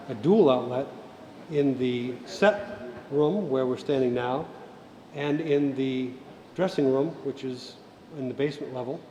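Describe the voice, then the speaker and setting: A man's voice: mid-range voice, warm tone. Man giving methodical instructions, roomy echo. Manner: deliberate, methodical, with long pauses mid-sentence. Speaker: instructor giving an equipment tutorial. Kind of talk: informal hands-on technical instruction. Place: indoor set room by a theater stage. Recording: roomy echo with faint background noise.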